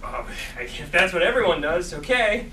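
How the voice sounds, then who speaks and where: A man's voice: high voice, sing-song, moderate pace, sharp tone, heavily acted. A man playing an eager but uneasy graduate starting as a barista in a comedy table read. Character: eager, uneasy young male barista graduate, twenty-two. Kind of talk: comedy table read before a live audience. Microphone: far camera. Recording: distant, echoey stage recording with background noise.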